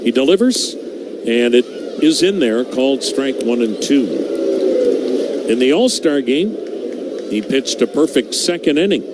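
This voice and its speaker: American guy doing baseball radio play by play, unhurried, short phrases, loud ballpark noise behind him. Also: medium pitch, expressive. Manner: measured, clipped phrases with pauses. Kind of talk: live play-by-play commentary. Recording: noisy live ballpark ambience.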